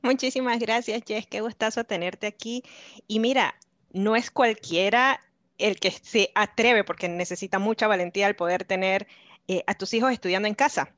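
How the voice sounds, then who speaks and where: Woman host of a casual podcast; mid-pitched, gushing, dry and dull lo-fi audio. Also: moderate pace, a bit bright, no echo.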